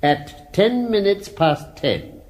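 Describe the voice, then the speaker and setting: An actor playing a formal, deliberate British judge addressing a jury in a radio drama. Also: male voice, sits high, sing-song, a little mellow, full character voice. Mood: grave, measured. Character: formal, measured male judge summing up a murder case.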